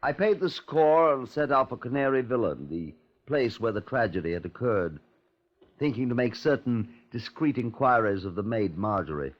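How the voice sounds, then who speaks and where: An actor playing a courteous, wry Scotland Yard inspector, narrating his case in a measured radio crime drama style. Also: medium pitch, sing-song. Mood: brooding, deliberate. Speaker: detective narrator. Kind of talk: 1950s radio crime drama, first-person narration and dialogue. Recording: dull, muffled 1950s radio recording.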